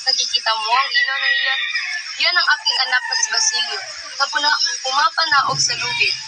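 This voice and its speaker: A woman's voice: high-pitched, animated, pitched below the performer's own voice, poor audio. Woman acting a distraught, rambling madwoman mother over music. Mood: pleading, eerie. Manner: pleading, repetitive, drawn-out. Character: madwoman mother, distraught, pale, rambling about her lost children. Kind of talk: puppet show dramatic acting. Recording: music playing behind the voice.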